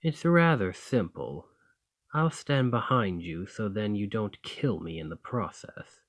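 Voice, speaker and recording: A man's voice: on the low side, lively intonation, unhurried, a little hiss or hum. A man playing a dominant, haughty fantasy thane in an ASMR roleplay, commanding and formal with a condescending, teasing edge. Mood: instructive, teasing. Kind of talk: ASMR roleplay acting, slow and intimate.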